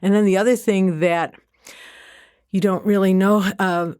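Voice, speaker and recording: A woman with a Chicago accent, a college community engagement coordinator chatting as a guest in a casual interview, folksy and quick to laugh. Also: medium pitch, steady tone, a little mellow, smooth, dry recording, studio quality.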